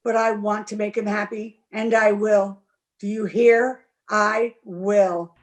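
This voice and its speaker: An actress playing a proud, lovestruck young gentlewoman in an old-time radio drama, with an emphatic theatrical delivery. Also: mid-range voice, level tone, slow, natural voice. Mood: defiant, accusing. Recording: remote video-call recording.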